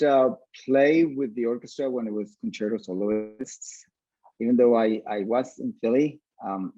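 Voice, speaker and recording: Mellow, halting man in a roomy recording. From Venezuela. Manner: halting, pausing often mid-sentence. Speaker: orchestra violinist, interview guest. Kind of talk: casual interview talk. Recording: dull, roomy low-fidelity sound.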